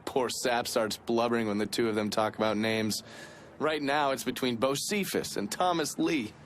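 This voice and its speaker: Actor as sardonic, chatty intelligence operative. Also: male voice, mid-range voice, moderate pace, slight background noise, lightly acted. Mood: wry, mocking. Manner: wry, mocking, chatty. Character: sardonic male intelligence operative reporting on surveillance. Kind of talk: cinematic game dialogue.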